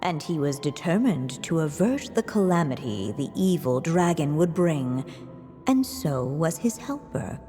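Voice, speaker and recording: A woman playing a solemn fairy tale storyteller in a video game, narrating gravely and deliberately. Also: low voice, sing-song, mellow. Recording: long reverb, faint music behind.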